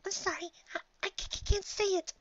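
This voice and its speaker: Shy, gentle cartoon pony girl: high-pitched, hesitant, near-whispering, slightly muffled sound. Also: steady tone, brisk. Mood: tearful, anxious. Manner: hesitant, stammering, soft-spoken. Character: shy, gentle, nervous young pegasus mare.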